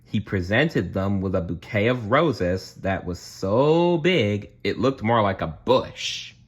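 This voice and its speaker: A man reading a children's bedtime story aloud in an expressive way, with chatty asides to the listener. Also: lowish voice, takes it slowly, slightly rough. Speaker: storybook reader.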